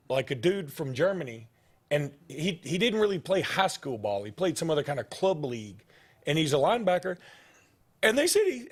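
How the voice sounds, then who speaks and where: A middle-aged man, hosting a sports talk show and rambling through stories in casual chat. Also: husky. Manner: rambling storytelling, jumps in pitch when animated.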